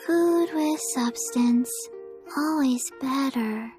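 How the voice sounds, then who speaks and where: Very slow, sleepy little girl. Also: high-pitched, soft background music. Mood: blank, subdued. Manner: flat, halting, deadpan. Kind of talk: video game dub.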